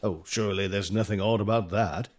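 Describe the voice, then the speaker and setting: Casting: man for genial Victorian gentleman physician, fairly low register, courteous and mildly flustered, radio-play delivery. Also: fairly even intonation, a little fast, natural voice. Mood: curious. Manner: polite, affable, hesitant. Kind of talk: audio drama acting and narration.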